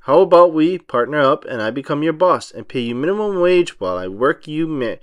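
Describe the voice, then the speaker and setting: A man's voice: on the high side, lively intonation, fairly quick, no echo, lightly acted. A man voicing a greedy, blunt old cartoon crab boss who talks in salty seafaring phrases, in a storybook. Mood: scheming delight. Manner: salty nautical turns of phrase.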